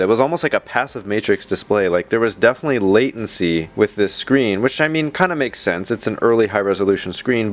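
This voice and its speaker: A man hosting a tech video in a casual, chatty way, with rambling, self-deprecating asides. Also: on the low side. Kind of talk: casual vlog narration.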